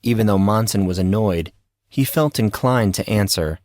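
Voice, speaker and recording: Man, lowish pitch, audio drama narrator, scripted storytelling, dry recording. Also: moderate pace, a little mellow, dry recording.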